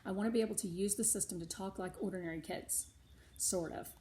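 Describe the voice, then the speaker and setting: A middle-aged or older woman reading a storybook aloud to schoolchildren, animated, with chatty asides of her own. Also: medium pitch, quick pace. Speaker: read-aloud host for schoolchildren.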